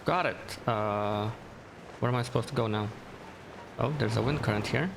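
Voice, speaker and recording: A man's voice: mid-range voice, normal speed, creaky, noisy background. A man giving casual, laid-back gameplay commentary, muttering to himself as he plays. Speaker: gamer. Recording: game music behind voice. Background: game music.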